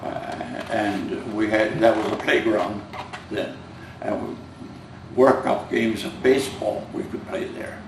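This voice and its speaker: A man's voice: lowish voice, fairly even intonation, slow, gravelly. An older man with a Finnish-American accent, Finnish as his first language, reminiscing hesitantly in an oral history interview, with many fillers and restarts. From United States. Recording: roomy, distant, noisy home recording.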